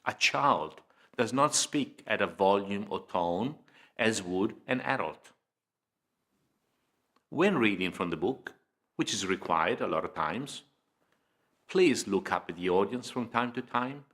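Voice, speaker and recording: Guy doing an instructional video, bright crisp-edged voice, deliberate phrasing w pauses, stresses the key words. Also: on the low side, animated. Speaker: presenter of public speaking training video. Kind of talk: informative instructional lecture, scripted delivery.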